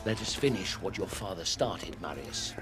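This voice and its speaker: An actor playing a grave, resolute older Roman general in a video game cutscene, solemn and commanding. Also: male voice, deep voice, animated, normal speed, over loud music. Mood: grave, urgent. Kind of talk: cinematic video game acting.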